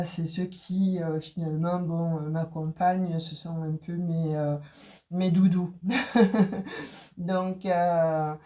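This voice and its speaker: A woman's voice: sits low, mellow. Woman with a cold reading oracle cards. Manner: hesitant, many fillers and restarts. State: has a cold. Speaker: tarot and oracle card reader. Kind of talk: casual card reading for viewers.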